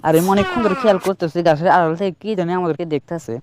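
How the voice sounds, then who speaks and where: Man as a quick, slightly high, loyal friend. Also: very noisy, close to an everyday voice. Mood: wary. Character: loyal, wary young man in adventuring group. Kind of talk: amateur Bangla cartoon dubbing.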